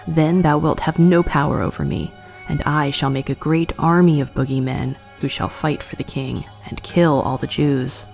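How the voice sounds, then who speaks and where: A woman's voice: deep voice, normal speed, gravelly, darkish tone, soft background music. A woman reading the part of a surly, disobedient male golem made of wood, speaking bluntly and sullenly. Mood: menacing.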